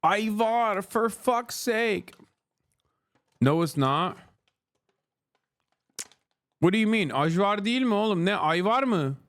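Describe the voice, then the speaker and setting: A man's voice: high-pitched, expressive, takes it slowly, long pauses, fairly bright tone. A man from Turkey in his thirties, streaming live while eating and trading profane banter with his chat. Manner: profane, bantering, reads chat aloud and argues with it.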